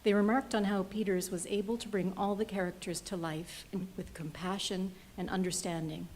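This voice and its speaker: Soft-spoken woman presenting an award. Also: medium pitch, steady tone, moderate pace, rough recording. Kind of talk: reading nominees and jury remarks.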